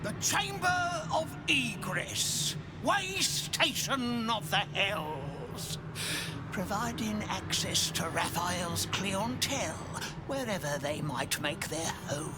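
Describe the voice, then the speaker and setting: An actor playing a spiteful, sadistic infernal portal keeper who sneers and relishes each word in a video game cutscene. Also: male voice, high-pitched, expressive, slow. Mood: haughty. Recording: loud game music under voice.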